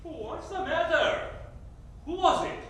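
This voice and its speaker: A man's voice: extremely high pitch, lively intonation, slow pace, fairly bright tone, full character voice. A man playing a brusque, self-serving father in a staged reading, commanding and impatient. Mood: alarmed, irritated. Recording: echoey theater, distant mic, faint noise.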